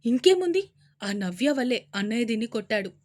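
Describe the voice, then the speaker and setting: A woman voicing a quarrelsome middle-aged aunt who shouts accusations in a Telugu audio story. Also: big pitch swings, brisk. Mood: angry. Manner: shrill, accusing.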